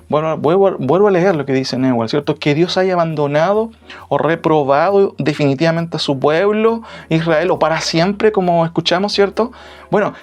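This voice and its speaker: A man giving expository Bible teaching in a didactic way, repeating key phrases and often adding short tag questions. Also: mid-range voice. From Chile.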